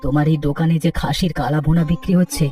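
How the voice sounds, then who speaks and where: Caring, anxious cartoon rickshaw puller male performer, mellow tone, slightly distant over music. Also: fairly high pitch, steady tone, fast talker, close to an everyday voice. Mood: worried, caring. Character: poor, caring, worried village rickshaw-puller husband. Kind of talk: Bengali cartoon story dialogue.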